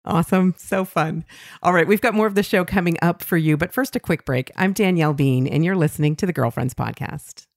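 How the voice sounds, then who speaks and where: A woman hosting a podcast, chatting warmly with guests and reading listener mail aloud. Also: on the low side, fairly quick, no echo, clean studio sound. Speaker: podcast host. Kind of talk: casual podcast talk.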